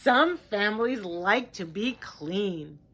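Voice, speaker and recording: A woman reading a children's picture book aloud like a teacher, in a deliberate, expressive way, with hushed chatty asides to her listeners. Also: medium pitch, slightly rough. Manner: slow, expressive, simple deliberate phrasing.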